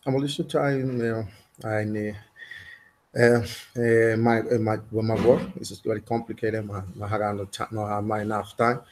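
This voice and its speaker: Casting: low-voiced Colombian man, halting learner English, faint background noise on the line. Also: flat delivery, darkish tone. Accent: Colombian Spanish. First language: Spanish. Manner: hesitant, many fillers and restarts. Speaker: police officer, exam candidate. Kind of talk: halting second-language answers. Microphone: video call.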